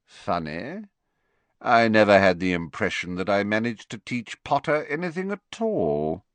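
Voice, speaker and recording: A man voicing a cold, sardonic male schoolmaster who speaks quietly with a clipped sneer. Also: on the low side, big pitch swings, unhurried, no room sound.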